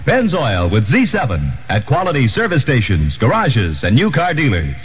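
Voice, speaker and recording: A man's voice: mid-range voice, wildly swooping pitch, moderate pace, music in the background. An American man giving a scripted 1960s radio commercial announcer read. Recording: muffled 1960s AM radio, slight noise.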